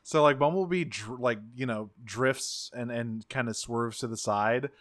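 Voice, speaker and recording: A man co-hosting a podcast, recapping scenes in a rambling, excitable way and swearing freely. His voice sits in a middle range and sounds bright and forward. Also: medium pitch, measured pace. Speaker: podcast co-host. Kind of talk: casual chat, recapping an episode.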